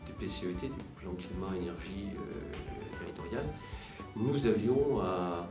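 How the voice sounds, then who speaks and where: A man giving measured interview answers as a local official, halting and searching for words. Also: low voice, expressive. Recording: roomy, distant mic, music behind. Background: music.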